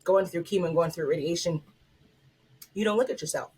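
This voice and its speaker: A woman in her thirties talking as a patient in a heartfelt, halting way, repeating words. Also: fairly low pitch, darkish tone, husky. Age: 30s. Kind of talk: interview testimony.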